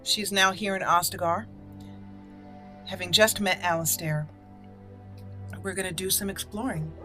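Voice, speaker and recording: A woman with a fairly low voice hosts a roleplay playthrough of a fantasy game. She gives commentary as she plays, with music very clear behind her voice. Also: steady tone, measured pace, bright, forceful tone. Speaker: host of a gaming channel. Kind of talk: let's play commentary with roleplay.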